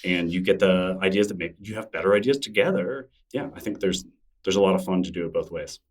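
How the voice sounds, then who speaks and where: A man's voice: low-pitched, fast, far from the mic. A middle-aged man, a guest in an interview, talking in a thoughtful, rambling way with many fillers and restarts. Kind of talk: casual interview conversation.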